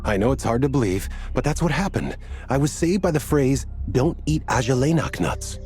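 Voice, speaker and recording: An earnest, slightly dazed man from a video game, voiced by an actor, telling a strange tale in a rambling way. His voice sits at a middle pitch but moves about a lot. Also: animated, normal speed, darkish tone, soft background music, close to an everyday voice. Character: dazed desert wanderer, adult man, earnest.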